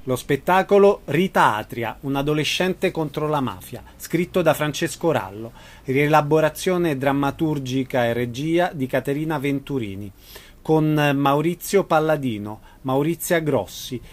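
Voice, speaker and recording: A man's voice: a little high, animated, measured pace. A man reading a scripted announcement as a radio announcer.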